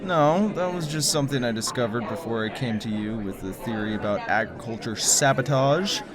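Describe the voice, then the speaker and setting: A man playing a wary, secretive conspiracy theorist in an audio drama, speaking in a halting, hesitant way. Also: medium pitch, a crowd in the background, close to an everyday voice. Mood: earnest. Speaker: lead character.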